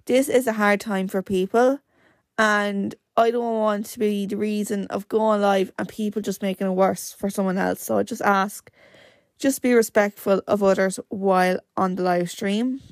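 Chatty young woman, slightly croaky. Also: mid-range voice, fairly even intonation, normal speed, no echo, studio quality. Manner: chatty and rambling, full of "like" fillers. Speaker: podcast host. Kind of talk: casual solo podcast chat.

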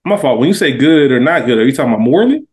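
Slangy American man, mid-pitched. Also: big pitch swings, very fast. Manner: slangy, profane, opinionated. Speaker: podcast panelist. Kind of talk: casual TV show discussion.

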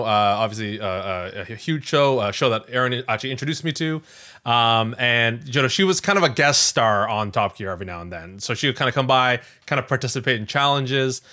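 A man's voice: medium pitch, lively intonation, brisk, pushed and bright. Man hosting a casual podcast, thinking aloud. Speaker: podcast host.

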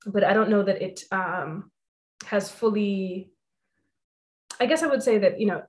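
A woman's voice: medium pitch, a little slow. A young woman hosting an interview, talking in a thoughtful, earnest way and filling her sentences with "you know" and "I think". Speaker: host. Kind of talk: reflective conversation.

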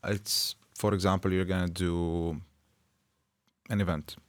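A man's voice: deep voice, level tone, a little slow, dry recording. A young man from Jordan, an Arabic speaker, answering interview questions casually and explaining things patiently with plain examples. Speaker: interview guest, school operations officer. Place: school radio room.

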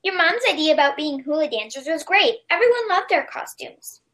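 A woman's voice: sits high, animated, a little fast, a bit bright. A woman playing an earnest young schoolgirl friend in an amateur audio play. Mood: admiring, earnest.

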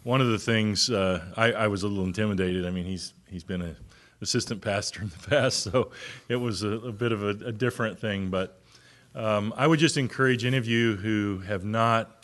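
A man's voice: on the low side, normal speed, fairly bright tone. A man from the congregation giving short informal remarks, hesitant and full of fillers. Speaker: church member.

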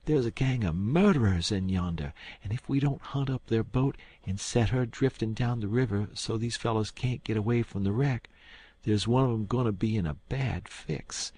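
A man voicing a quick-witted, bossy rustic boy in an audiobook, speaking urgently. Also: medium pitch, husky, no room sound, voice pushed up. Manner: urgent coarse country talk. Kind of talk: audiobook character acting.

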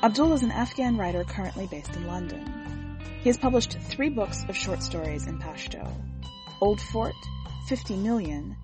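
A woman reading scripted narration for a literary fiction podcast in a measured, deliberate and expressive way. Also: medium pitch, over music. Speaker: podcast host and story reader.

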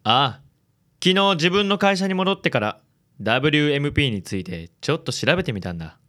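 A man's voice: fairly high pitch, big pitch swings, a little fast, fairly bright tone. A man voicing a suave, genteel businessman in a radio drama. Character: smooth, suave handsome businessman.

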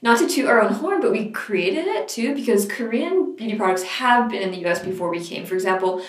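A woman's voice: fairly high pitch, lively intonation, brisk. A woman from the United States who founded a beauty company, speaking in an interview in a conversational way with many fillers. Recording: roomy sound from a distant mic. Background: soft background music.

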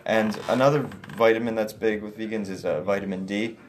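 Young guy, kinda quiet soft voice, lowish, says "like" every other word, keeps restarting. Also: steady tone, a little fast, slight background noise. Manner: rambling, heavy use of "like", restarts. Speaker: guest. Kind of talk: casual interview chat.

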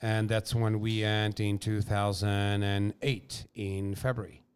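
Smooth-voiced man telling stories. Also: fairly low pitch, takes it slowly. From Portugal. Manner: animated storyteller, non-native phrasing. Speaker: pizza chef guest. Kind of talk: conversational interview storytelling.

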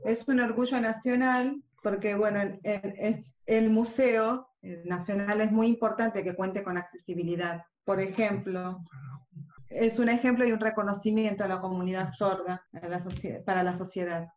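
Halting woman interpreting, roomy sound. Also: medium pitch, steady tone, darkish tone. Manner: halting, many restarts and pauses. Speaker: sign language interpreter. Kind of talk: interview answers voiced for a deaf guide. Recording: roomy, dull lo-fi sound with faint music.

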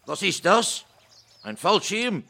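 A man's voice: high voice, sing-song, slow, creaky, bright, forceful tone, full character voice. A man playing an irritable German soldier with a German accent, barking orders in an audio drama. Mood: demanding.

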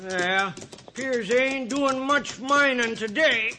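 A simple, loyal old-West sidekick with a very high voice and a slow Western American drawl. He is folksy and given to grumbling. It is a radio western on a noisy vintage recording. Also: bright, forceful tone, exaggerated voice. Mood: doubtful.

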